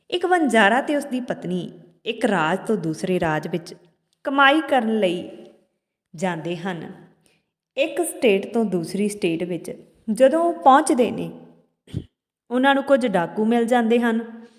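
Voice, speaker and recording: A woman narrating a moral folk tale as a storyteller, delivering it deliberately, phrase by phrase with pauses. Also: mid-range voice. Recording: long hall-like reverb.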